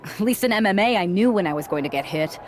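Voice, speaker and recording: A woman's voice: medium pitch, animated, quick pace, slight background noise, lightly acted. A woman playing a tough, angry wrestling rookie and former fighter in a game cutscene, speaking with dry sarcasm. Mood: sarcastic. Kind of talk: video game cutscene acting.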